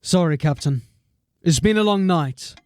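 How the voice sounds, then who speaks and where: A man's voice: on the high side, lively intonation, unhurried, darkish tone, dry recording. An actor playing a tired, dry-witted watch sergeant in an audio drama, commanding and wry in his delivery. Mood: weary. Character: weary, dry-witted watch sergeant, middle-aged man.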